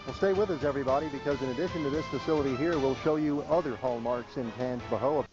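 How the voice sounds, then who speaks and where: A man's voice: medium pitch, fast. Dull lo-fi male TV presenter. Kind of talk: scripted promotional TV presenting. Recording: dull lo-fi archive video, music behind. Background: clear background music.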